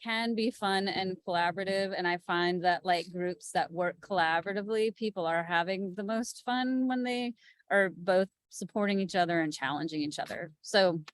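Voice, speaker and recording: A woman's voice: lowish voice, moderate pace, dry recording. Informal woman panelist, dry close sound. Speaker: podcast executive producer, panelist. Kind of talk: casual panel talk.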